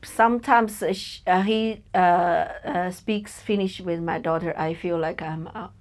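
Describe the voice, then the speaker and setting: A woman's voice: lowish voice, fairly even intonation, measured pace. A woman with a Chinese accent, a native Chinese speaker, talking in a personal interview as a podcast guest. She is hesitant and searches for words, with many fillers. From China.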